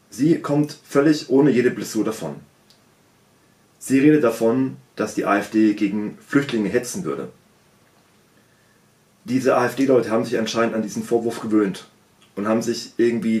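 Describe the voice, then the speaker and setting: Insistent man in a roomy home room. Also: fairly low pitch. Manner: insistent, emphatic, repeats key points. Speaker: political commentator. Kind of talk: critical video commentary. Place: small room at home, indoors.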